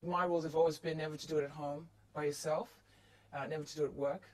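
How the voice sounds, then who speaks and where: Fast-talking woman, matter-of-fact. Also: low voice, fairly even intonation, rough recording. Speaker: interviewee. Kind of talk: candid interview.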